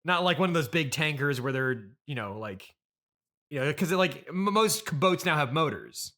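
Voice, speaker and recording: A man's voice: a little high, expressive, moderate pace, pushed and bright. A man interviewing as a podcast host in an improvised comedy chat, questioning incredulously and echoing what he hears.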